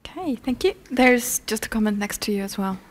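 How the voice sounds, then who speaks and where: A woman's voice: fairly high pitch, lively intonation, a little fast, a little hiss or hum. A woman moderating a conference Q&A, brief and directive. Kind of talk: chairing a Q&A.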